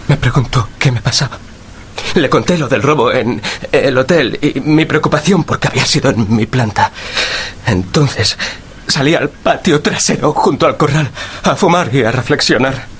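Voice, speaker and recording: An actor playing a cowardly, nervous thief in a radio drama, pleading and justifying himself. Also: male voice, mid-range voice, normal speed, a little hiss or hum, close to an everyday voice. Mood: nervous confessing.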